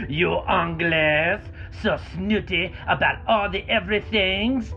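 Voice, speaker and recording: A man's voice: sits high, slow pace, sharp tone, muffled recording, soft background music, heavily acted. A man playing a giggling, mocking eccentric in a comedy audio drama. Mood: gleeful mocking.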